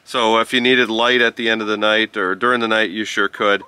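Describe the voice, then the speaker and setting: A man giving a casual, unscripted product review, explaining step by step in a halting, informal way. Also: lowish voice, steady tone, pushed and bright. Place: outdoors on a rural farm. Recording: noisy outdoor farm ambience with chickens. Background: clucking chickens, outdoor ambience.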